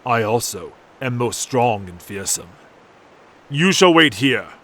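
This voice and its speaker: A man's voice: medium pitch, very animated, slow, a bit bright. A man playing a brooding, gallant medieval undead knight with formal, archaic, chivalrous speech in a comic dub. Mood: resolute.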